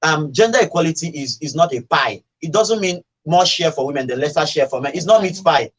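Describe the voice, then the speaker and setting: A Nigerian man with a fairly high-pitched voice talks casually on a radio show. He argues his views in an animated way and slips into other people's words as he tells a story. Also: fairly quick, husky. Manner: animated storytelling, acting out quoted remarks. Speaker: radio talk show speaker. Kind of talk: casual opinionated radio discussion.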